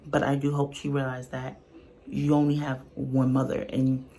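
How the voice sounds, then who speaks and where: A woman's voice: rumbling low, little pitch movement, normal speed, warm tone. A woman giving casual, unscripted reaction commentary in a blunt, colloquial, earnest way. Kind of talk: casual, unscripted opinion talk.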